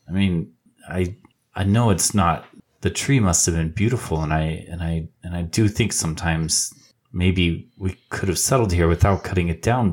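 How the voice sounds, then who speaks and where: A man speaking in character as a player in a tabletop game, conversational and a little unsure as he works through his thoughts. His voice is low and husky. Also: a little mellow. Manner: conversational, searching for words.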